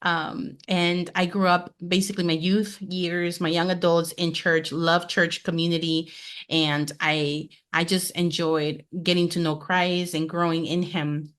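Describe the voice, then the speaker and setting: A woman in her forties who grew up in Peru, guesting on a faith podcast with a somewhat low voice. She talks earnestly and purposefully, moving briskly through her personal story in a relaxed conversational tone. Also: smooth. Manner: earnest, goal-driven, quick storytelling. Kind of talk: conversational testimony.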